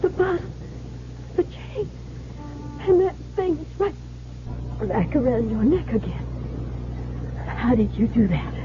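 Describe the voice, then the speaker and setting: An actress playing an excitable, teasing young woman hooked on gambling in a theatrical old radio drama. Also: high voice, slow, long pauses, heavily acted. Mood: frightened. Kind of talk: 1970s radio drama acting. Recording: noisy, dull old radio broadcast.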